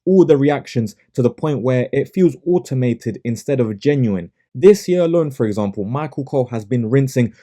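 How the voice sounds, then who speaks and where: A man's voice: medium pitch, animated, moderate pace, hardly any pauses, mellow. A young man, not a native English speaker, giving a blunt, sarcastic solo review of wrestling shows.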